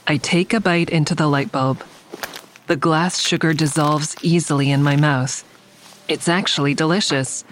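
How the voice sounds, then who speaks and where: A woman's voice: low-pitched, fairly even intonation, moderate pace, a little hiss or hum, close to an everyday voice. An actress playing a guarded, defiant woman in an audio drama, narrating and speaking in a terse, dry, confiding way. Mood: tense, confiding. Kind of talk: first-person audio drama narration and dialogue. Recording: faint music under the voice.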